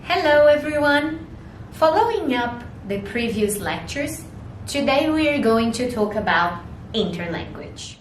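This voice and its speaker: A young woman whose first language is Portuguese gives a recorded university lecture, explaining slowly and putting rhetorical questions to students. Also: sing-song. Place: small studio room in front of a screen. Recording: echoey room, faint rumble.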